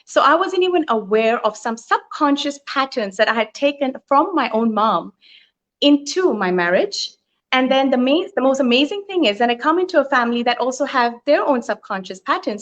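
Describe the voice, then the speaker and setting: A middle-aged woman from Thailand telling her life story as a guest, earnest and thoughtful, with frequent pauses. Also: a little high, a bit bright. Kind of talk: reflective personal storytelling. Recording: lo-fi online live stream.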